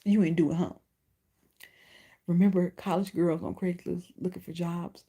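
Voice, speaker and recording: A woman's voice: on the low side, moderate pace, husky. A woman with a Chicago accent and a lisp, chatting bluntly and profanely on a livestream as its host. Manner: blunt, profane and animated, answering chat. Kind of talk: casual livestream chat.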